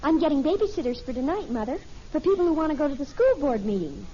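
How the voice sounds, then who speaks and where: An actress playing an earnest, eager teenage daughter in a 1950s radio family sitcom. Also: female voice, sits high, very animated, fast, dark tone. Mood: eager, polite. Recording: muffled, noisy old radio recording.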